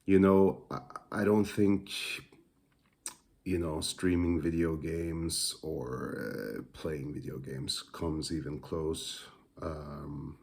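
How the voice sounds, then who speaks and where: A man with a Norwegian accent, a musician, teacher and father, thinking aloud in a casual, hesitant monologue full of pauses and fillers. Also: a bass voice, steady tone, creaky, darkish tone. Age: adult father.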